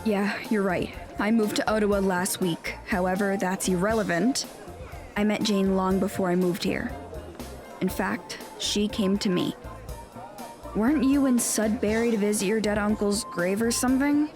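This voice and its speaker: A woman's voice: mid-range voice, steady tone, moderate pace, music in the background, close to an everyday voice. A woman playing a self-important, indignant teenage schoolgirl in an audio drama, speaking in an emphatic, accusatory way. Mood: matter-of-fact.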